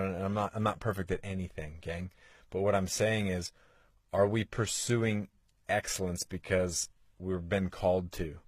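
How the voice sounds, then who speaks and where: A man in his forties gives a motivational podcast talk in a fairly low voice. He sounds earnest and coaxing, and he leans on rhetorical questions. The close mic gives a dry, intimate sound with no room echo. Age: 40s. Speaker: podcast host.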